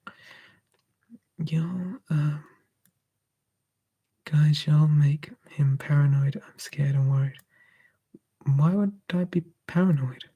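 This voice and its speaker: An ASMR streamer, softly whispering and musing while answering live chat on a close mic. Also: mid-range voice, breathy edge. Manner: soft, drifting between whisper and quiet voice, musing.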